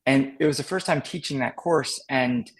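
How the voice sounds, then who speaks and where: A man's voice: mid-range voice, moderate pace, rough recording. A man speaking as a guest marketing expert in a casual interview, thinking aloud reflectively over a video call.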